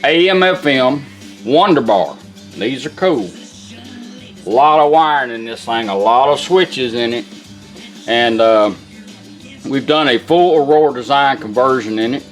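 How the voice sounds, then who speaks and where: A man gives an informal demonstration as a restorer showing off his work. His manner is folksy and unhurried, with a medium-pitched voice. Guitar music plays behind him. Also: lively intonation. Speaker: radio restorer demonstrating a product. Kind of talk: casual product demonstration.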